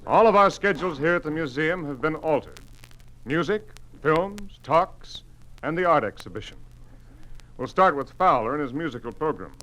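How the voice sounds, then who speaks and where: An actor playing a principled, frustrated museum director in a radio drama, speaking formally in a measured, emphatic way. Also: male voice, on the high side, expressive, a bit bright. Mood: grave, formal. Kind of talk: mid-century radio drama acting. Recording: noisy old radio recording.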